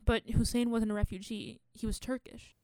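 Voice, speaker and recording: A woman's voice: a little high, level tone, normal speed, dark tone, dry recording, close to an everyday voice. A woman acting in a radio play as a determined, indignant investigator. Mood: intent, skeptical.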